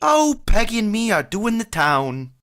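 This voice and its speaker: A man's voice: high-pitched, very animated, a little slow, a bit bright, heavily acted. An actor playing a boastful, easily flustered working-class man who blusters and stammers when he gets nervous, in vintage radio comedy style.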